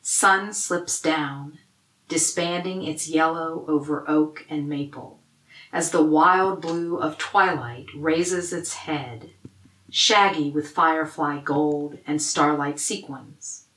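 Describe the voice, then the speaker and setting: A woman who is a poet, reading her own prepared words in a measured way. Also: low voice, fairly even intonation, a bit bright, roomy sound, poor audio. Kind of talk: measured scripted poetry reading.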